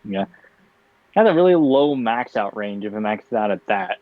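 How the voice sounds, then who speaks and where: A young man co-hosting a casual chat show, talking in a tired, flat monotone with bursts of laughter. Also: lowish voice, normal speed, slight background noise. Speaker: podcast co-host. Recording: dull, dry lo-fi home mic.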